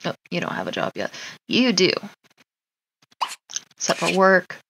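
A woman's voice: fairly low pitch, very animated, measured pace, fairly bright tone, slight background noise, poor audio. Chatty woman narrating her sim game. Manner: chatty, laughs often, talks to her sims. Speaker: Sims gameplay streamer. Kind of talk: casual gameplay commentary.

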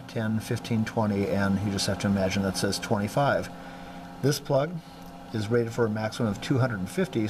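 A man giving a methodical hands-on demonstration of electronics test equipment as an instructor, clearing his throat now and then, at a workbench indoors. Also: lowish voice, noisy background. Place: indoor workroom.

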